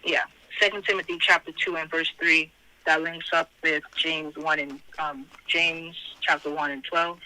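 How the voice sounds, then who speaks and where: A man with a very bright, forceful voice, pitched fairly high and slightly hoarse, teaches scripture informally. He repeats his phrases and hesitates as he goes. The sound is that of a tinny conference phone line. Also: level tone, moderate pace. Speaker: Bible study speaker. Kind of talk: informal scripture teaching, reading verses aloud.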